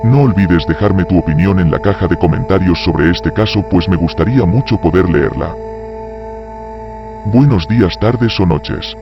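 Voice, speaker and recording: Deep, fast male narrator over music. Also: muffled recording. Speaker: video channel narrator. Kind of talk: scripted true-crime narration.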